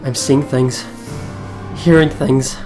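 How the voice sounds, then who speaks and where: A woman's voice: extremely low pitch, steady tone, slow pace. A woman hosting a let's play, reading a visual novel aloud in a dramatic, reflective manner. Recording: game music behind.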